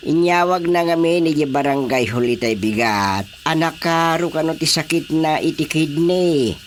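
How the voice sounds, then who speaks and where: A man with an Ilocano Filipino accent playing a world-weary, principled village chairman in a radio drama comedy, grumbling in a drawn-out way. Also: fairly high pitch, lively intonation, a little hiss or hum, natural voice. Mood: concerned, questioning.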